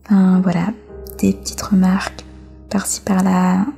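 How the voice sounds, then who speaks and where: A woman from France speaks as an interview guest, sharing personal testimony. Her voice is gravelly with fry and stays fairly even. She phrases things reflectively, with hesitant pauses, over faint music. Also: fairly low pitch.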